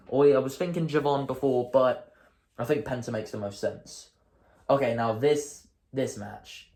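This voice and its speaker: A hoarse man talks casually as host of his own show, thinking aloud and correcting himself as he goes. His voice sits at an ordinary middle pitch. The sound bounces around an echoey room. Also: darkish tone. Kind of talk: casual solo commentary.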